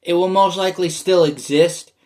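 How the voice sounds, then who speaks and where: Young American man, slightly raised pitch, loose rambling solo vlog delivery with lots of fillers. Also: fairly even intonation, moderate pace, a little mellow. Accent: American, often heard as Canadian. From United States. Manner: meandering, many fillers and restarts, chuckles. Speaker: vlogger. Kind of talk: casual rambling monologue.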